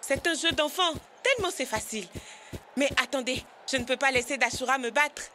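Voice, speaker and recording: A woman's voice: high voice, lively intonation, a little fast, sharp tone, a little hiss or hum. A woman voicing a cocky, whiny animal racer in a French-dubbed cartoon, dramatic in manner.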